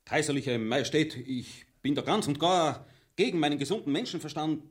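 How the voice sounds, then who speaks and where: An actor playing an aggrieved, boastful army captain in a grotesque radio comedy, plaintive and melodramatic in Viennese dialect. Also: male voice, fairly high pitch, normal speed, close to an everyday voice. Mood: pleading, aggrieved.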